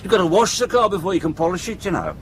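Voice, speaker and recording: Cheeky shifty british car park boss, highish voice, radio drama, faint outdoor noise. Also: male voice, animated, very fast. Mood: nervous, evasive. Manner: defensive, colloquial, hedging. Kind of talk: broadcast radio drama acting.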